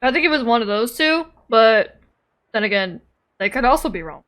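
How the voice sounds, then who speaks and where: A woman's voice: on the high side, lively intonation, moderate pace, fairly bright tone. A woman giving playful, joking, casual live commentary as a game streamer.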